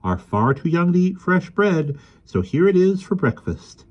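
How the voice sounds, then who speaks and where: A man reading a storybook aloud, voicing a shy, gentle little woman who is a young mother. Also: on the high side, sing-song, unhurried, lo-fi sound, pitched above the performer's own voice.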